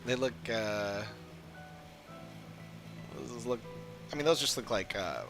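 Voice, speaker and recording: A man chatting casually as a game streamer, rambling and halting as he talks. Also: mid-range voice, vocal fry. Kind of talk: casual livestream chat. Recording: game music behind the voice. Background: video game music.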